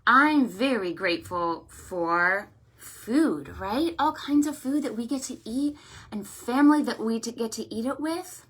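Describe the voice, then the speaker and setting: A woman hosting a storytime for young children, with an animated, sing-song, encouraging way of talking, full of check-in questions and affectionate thanks. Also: a little high, a little slow, breathy edge. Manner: affectionate, effusive greetings and thanks. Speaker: children's library storytime host.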